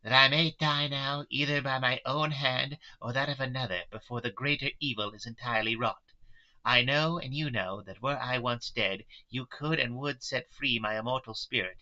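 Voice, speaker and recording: An anguished, resolute wife from a gothic storybook as read aloud by a man. The delivery is earnest and pleading, in a very bright, tense voice. The recording is somewhat dull and lo-fi. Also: mid-range voice, voice pushed up.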